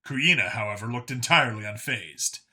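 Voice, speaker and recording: A man's voice: mid-range voice, expressive, normal speed, sharp tone. A man reading scripted story narration as an audiobook narrator.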